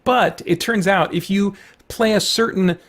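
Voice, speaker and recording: A man's voice: high-pitched, a little slow, a bit bright. A middle-aged man hosting a video with casual, rambling, enthusiastic chat full of loose asides. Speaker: video host.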